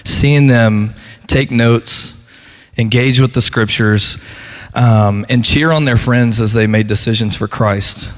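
A man serving as a student pastor speaks earnestly in church, pausing often with fillers. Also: mid-range voice. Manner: earnest, hesitant with many ums. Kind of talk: casual church testimony. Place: church sanctuary. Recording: long hall reverb.

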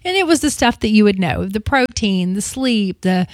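A woman's voice: medium pitch, lively intonation, moderate pace, no room sound, clean studio sound. A woman in her fifties, a radio host talking casually and confessionally on air, with many restarts. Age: 50s.

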